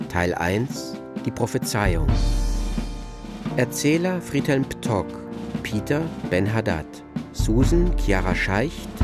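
A man reading scripted titles and credits as the announcer of a radio drama. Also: fairly low pitch. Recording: music under the voice.

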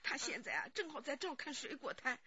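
Old granny worrying about her son, radio drama, sharp high voice, wails and scolds, slightly muffled. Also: animated, a little fast, slight background noise. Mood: tearful, anxious. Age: older. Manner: wailing, fretful, exclamatory. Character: anxious, scolding elderly mother of a suspect.

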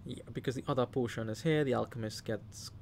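A man's voice: mid-range voice, fairly quick, vocal fry, a little hiss or hum. A man with a Trinidadian accent giving live commentary on a card game, talking to the players and cheering at big plays. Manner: animated, talks to the players, shouts when excited.

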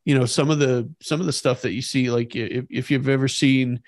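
A man co-hosting a podcast, discussing history conversationally in a halting, repetitive way. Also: medium pitch, fairly even intonation, dry recording, studio quality. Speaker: podcast co-host.

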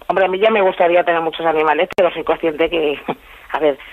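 A woman's voice: low-pitched, steady tone, brisk, no room sound. A woman with an accent from Spain in a spontaneous phone conversation, explaining herself defensively over a telephone line. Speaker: prank call victim.

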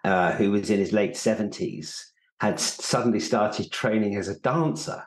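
A middle-aged man co-hosting a casual chat, with halting, repeated starts, on a video call. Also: lowish voice. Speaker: podcast co-host. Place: indoor room at home. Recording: echoey room over video call.

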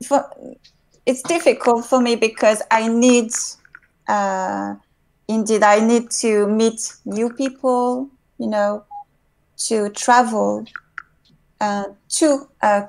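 A woman's voice: on the high side, fairly even intonation, drawn-out words, creaky, pauses often, darkish tone. A woman in her thirties, a musician on a video call panel, talking hesitantly and searching for words. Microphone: earphones with mic on a video call.

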